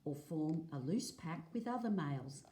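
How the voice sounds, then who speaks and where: A woman reading aloud as a teacher, explaining in a measured way, phrase by phrase. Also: deep voice, lively intonation, dark tone. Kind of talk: read-aloud lesson of a non-fiction picture book. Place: indoors at a table, filming a book.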